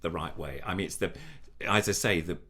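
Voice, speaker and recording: A man's voice: low-pitched, brisk, bright, forceful tone, a little hiss or hum. An older man with a nasal voice, a puppeteer chatting in an interview, enthusiastic and rambling with many restarts. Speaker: puppeteer guest. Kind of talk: casual interview chat.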